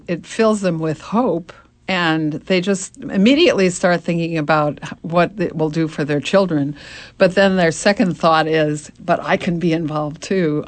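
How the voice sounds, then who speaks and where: A woman speaks as a guest on a radio talk show, recorded dry and close. She is affirming and quick to agree with the others. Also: fairly low pitch, no room sound. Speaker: arts center leader, guest. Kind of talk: radio interview answers.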